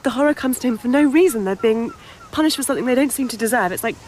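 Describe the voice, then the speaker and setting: A woman's voice: rapid-fire, darkish tone, close to an everyday voice. A woman playing a keen, bookish film crew assistant in an audio drama, explaining things in an earnest, informal way. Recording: faint outdoor ambience and rumble.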